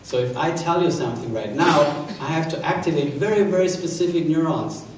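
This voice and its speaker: A man with a German accent speaks haltingly as a moderator, giving an informal introduction to an audience. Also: a little high. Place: large hall, town hall event. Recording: echoey hall, distant mic, faint noise.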